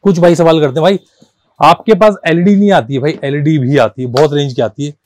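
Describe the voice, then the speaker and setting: A man gives a casual, persuasive sales pitch as a shop seller, often repeating himself and mixing languages informally. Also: fairly even intonation, a little fast, darkish tone, no echo. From India. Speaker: car accessories shop seller and presenter.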